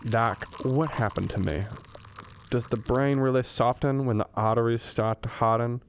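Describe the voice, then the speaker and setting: A man's voice: mid-range voice, fairly even intonation, moderate pace, slight background noise, natural voice. A man playing a cranky, rueful old professor in a comedic radio drama, grumbling in a plain, folksy, self-deprecating way. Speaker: student radio drama actor.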